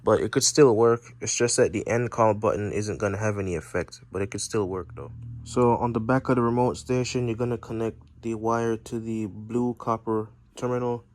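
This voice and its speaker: Low-voiced man giving a halting tutorial. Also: steady tone, a little mellow. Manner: halting, step-by-step explaining. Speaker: presenter of a how-to repair video. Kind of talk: informal hands-on tutorial, unscripted.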